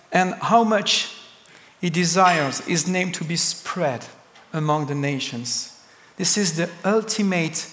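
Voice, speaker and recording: Slow French-accented preacher in a hall. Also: male voice, fairly high pitch, pushed and bright. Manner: slow, deliberate preaching with emphatic pauses. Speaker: pastor. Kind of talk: sermon.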